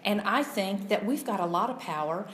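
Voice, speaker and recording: A mature woman with a medium-pitched voice tells a group about herself casually and haltingly. The room is clearly echoey, and there is faint noise behind her. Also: lively intonation, a bit bright. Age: middle-aged or older. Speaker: political discussion panelist. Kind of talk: casual personal introduction to a group.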